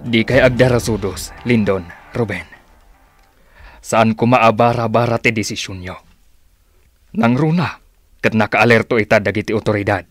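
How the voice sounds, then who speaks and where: A man acting a cautious, fatherly uncle in a radio drama, giving measured, admonishing advice. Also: lowish voice, dry recording, soft background music, lightly acted. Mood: cautioning, grave. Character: cautious, fatherly older uncle advising younger men.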